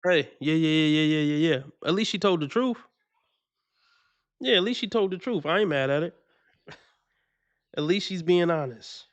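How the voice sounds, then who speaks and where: A man reacts to clips on his stream in loose, slangy talk, chuckling and saying things twice for weight. His voice is a touch high and smooth, recorded close and dry with no room sound. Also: animated, moderate pace, pauses often, no room sound. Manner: slangy, laughing, repeats himself for emphasis. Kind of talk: casual reaction commentary.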